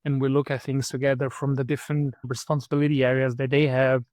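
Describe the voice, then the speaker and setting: A man's voice: mid-range voice, little pitch movement, fast, darkish tone, no room sound. A man from Brazil, a guest on a podcast, thoughtfully explaining his work, often repeating words as he searches for the next one. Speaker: podcast guest, program manager. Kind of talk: conversational interview answers.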